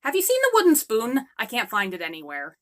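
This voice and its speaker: A playful ten-year-old girl who forgets her chores, as a woman acts her out. She sounds eager and a bit whiny, with a high, bright voice that leaps up and down. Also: wildly swooping pitch, brisk. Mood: pleading.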